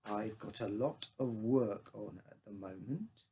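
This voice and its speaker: A British man reading a story as a withdrawn, grieving father, with a heavy, slow delivery. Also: lowish voice, warm tone, slight background noise, voice pushed down. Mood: heavy, pointed. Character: withdrawn, grieving father, emotionally dead inside.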